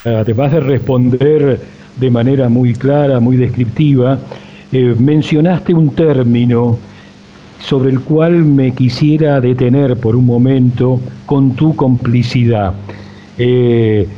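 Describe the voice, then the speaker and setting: Slow-paced Argentine man interviewing, muffled audio. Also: mid-range voice, steady tone. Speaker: radio interviewer. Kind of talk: reflective conversational interviewing. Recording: dull radio audio, slight noise.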